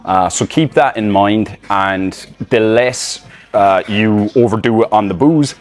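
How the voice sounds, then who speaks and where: A man's voice: fairly low pitch, measured pace. An Irish man, a fitness coach, talking casually to a phone camera outdoors, loose and rambling with many fillers. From Ireland. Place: outdoors in public, filming selfie-style. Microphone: phone camera in selfie mode.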